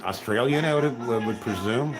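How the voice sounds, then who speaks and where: A man's voice: mid-range voice, normal speed. A man from California, hosting his channel in a rambling, self-deprecating livestream chat with music behind him. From Los Angeles area, California. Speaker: channel host.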